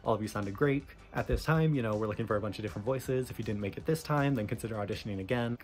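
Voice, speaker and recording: A man's voice: fairly low pitch, very fast, a little mellow, faint music behind. A man telling a personal story in a casual, chatty vlog style, often dropping "like" into his sentences. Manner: chatty, frequent "like" asides. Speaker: vlogger recounting an audition.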